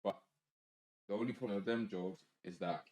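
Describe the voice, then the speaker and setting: A man's voice: lowish voice, fairly even intonation, normal speed, a little mellow, a little hiss or hum. A young man, co-hosting a casual podcast chat in slang, stumbling and restarting as he goes. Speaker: podcast co-host. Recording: roomy echo.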